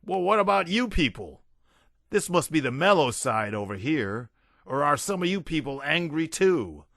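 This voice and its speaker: A man playing a brash young punk singer in an audiobook, yelling rowdy, goading stage banter. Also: mid-range voice, sing-song, normal speed, no echo, clean studio sound.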